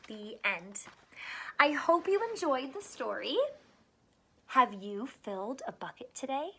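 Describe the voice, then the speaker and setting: A woman's voice: high voice, sing-song, measured pace, fairly bright tone, a little hiss or hum. A woman teacher reading a picture book aloud to children, adding encouraging asides and questions.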